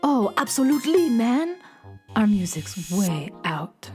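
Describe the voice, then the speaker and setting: Drawling, swooping beatnik cool cat. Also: female voice, on the high side, very animated, slow pace, dark tone, soft background music. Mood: cool, laid-back. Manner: hip, drawling beatnik slang. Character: laid-back beatnik cool cat from the moon. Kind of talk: children's radio play acting.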